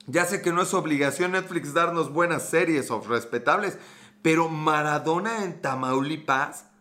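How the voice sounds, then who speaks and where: A man's voice: fairly high pitch, moderate pace, pushed and bright. A young man with a Mexican accent, hosting a livestream, joking in crude slang and reading out viewer comments. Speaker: livestream host.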